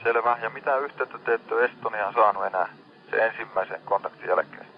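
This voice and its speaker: Low-voiced Finnish male rescue dispatcher on radio. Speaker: maritime rescue center operator. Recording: dull band-limited radio channel.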